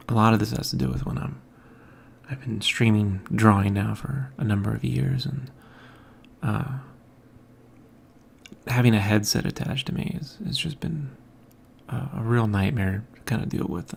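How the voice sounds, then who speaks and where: A man hosting his own podcast, talking casually and softly in a quiet, mumbling way from a home room with an overhead mic. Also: on the low side, measured pace, creaky, long pauses, a little hiss or hum. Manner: quiet, soft-spoken, mumbling. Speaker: podcast host. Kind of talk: casual morning monologue. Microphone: hanging overhead mic.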